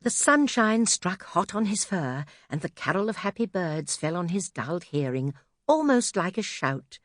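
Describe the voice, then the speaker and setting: Animated low-voiced woman storyteller. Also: normal speed, no echo, clean studio sound. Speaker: audiobook narrator. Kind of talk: expressive scripted children's story narration. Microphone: close studio microphone.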